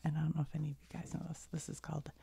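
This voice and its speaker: A middle-aged woman telling a rambling story in a soft, unvoiced whisper, with little asides as she goes. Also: sits low, monotone, rapid-fire, creaky, slightly rough. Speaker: video creator.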